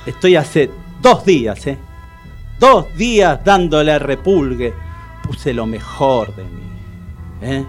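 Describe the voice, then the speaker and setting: A man's voice: medium pitch, animated, takes it slowly, soft background music. An actor playing a proud, fussy older neighborhood man who cooks, with an Argentine Rioplatense accent and a hesitant, repetitive, self-important manner, in a comic radio drama. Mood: boastful.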